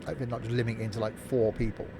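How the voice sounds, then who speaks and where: A man with a mid-pitched voice talks off the cuff as a game streamer. He is informal and jokey, drifting between commentary and replies to his chat. A steady bed of noise and vehicle rumble sits clearly behind his microphone. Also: very fast. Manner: informal, jokey, chatty asides. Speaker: live streamer playing a train simulator and chatting with viewers. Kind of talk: casual live game commentary, replying to chat. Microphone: streaming microphone through a mixing desk.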